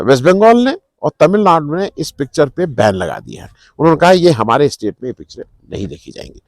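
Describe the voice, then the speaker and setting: A man's voice: high voice, a little mellow, no room sound. A middle-aged man gives unscripted political commentary, explaining things to viewers in a conversational way and mixing in English words. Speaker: news commentary host.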